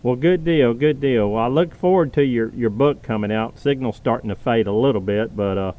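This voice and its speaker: A man's voice: mid-range voice, fairly quick, phone-quality sound. Southern man, casual radio chatter. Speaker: amateur radio operator. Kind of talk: casual ham radio chat.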